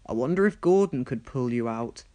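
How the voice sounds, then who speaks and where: A man's voice: medium pitch, expressive, normal speed, dark tone, close to an everyday voice. A man voicing a stern, authoritative male railway boss in a children's storybook, speaking in a commanding way. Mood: stern, pondering.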